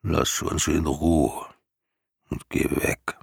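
A man voicing an ancient, huge, weary female turtle in an audiobook, with a gurgling, breathy, wheezing voice and a listless, drawn-out, dismissive delivery. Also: extremely low pitch, level tone, no echo, studio quality, full character voice. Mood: irritated, weary.

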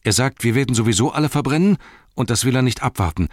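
A man voicing a frightened, earnest young halfling in a fantasy audiobook, pleading in a breathless, hurried way. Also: mid-range voice, sing-song, no echo, clean studio sound, pitched above the performer's own voice. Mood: frantic pleading. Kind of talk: fantasy audiobook character reading.

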